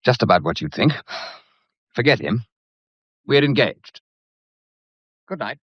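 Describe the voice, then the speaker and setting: An actor playing a casual, wry English gentleman suitor in a radio mystery drama. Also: medium pitch, big pitch swings, slow pace, slight background noise. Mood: curt. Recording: dull, muffled lo-fi audio.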